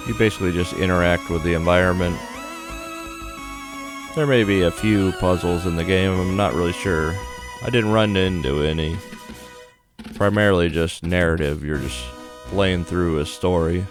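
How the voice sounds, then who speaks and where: A man's voice: deep voice, normal speed. A man hosting a gaming video, narrating a playthrough in a casual, plainspoken way. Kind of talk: game playthrough commentary, reading on-screen story text. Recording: music behind the voice. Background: game music.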